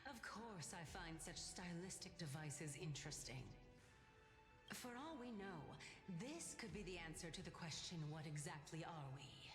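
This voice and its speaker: A woman's voice: fairly low pitch, expressive, moderate pace, lightly acted. An actress playing a playfully self-admiring, cryptic female sage spirit in a video game, with a sweet, theatrical manner. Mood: playful, musing. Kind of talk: scripted video game voice acting. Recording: echoey long reverb over music.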